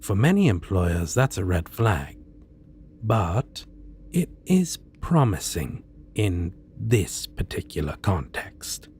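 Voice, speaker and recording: A suave male supervillain, acted by a man, speaks softly in an ASMR roleplay. His low voice moves slowly, with deliberate pauses and expressive swings in tone. He is courteous yet menacing, over faint background noise. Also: heavily acted. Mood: approving, businesslike. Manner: measured, soft-spoken, deliberate pauses. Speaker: voice actor.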